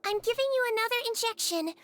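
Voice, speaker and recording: A woman voicing a gentle little female nurse creature in a game, speaking softly and reassuringly. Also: very high-pitched, quick pace. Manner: soft, patient, reassuring bedside way of talking. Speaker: game character. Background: game music.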